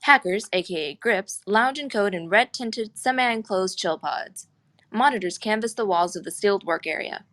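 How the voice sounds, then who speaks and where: A woman's voice: medium pitch, normal speed, fairly bright tone. A woman reading scripted stage directions and scene headings as narrator at a screenplay table read.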